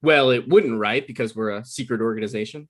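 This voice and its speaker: A man's voice: medium pitch, brisk, pitched below the performer's own voice, lightly acted. A man reading the part of an awkward, evasive man in his mid-thirties bluffing through a date in a comedy table read. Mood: earnest bluffing.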